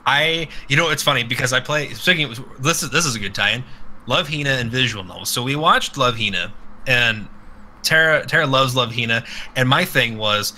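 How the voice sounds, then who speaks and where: A man's voice: medium pitch, normal speed, bright, forceful tone, slight background noise, low-quality recording. A man co-hosting a casual podcast, telling rambling stories in a crude, profane way. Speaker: podcast co-host. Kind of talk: casual podcast banter and storytelling.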